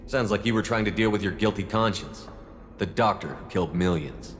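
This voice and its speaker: A blunt, confrontational male commander from a video game , played by a man. His voice is deep and he talks quickly, pressing his point directly. Long reverb and music surround the voice. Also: lightly acted. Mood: accusing. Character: blunt, challenging human male military commander. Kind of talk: video game cutscene acting.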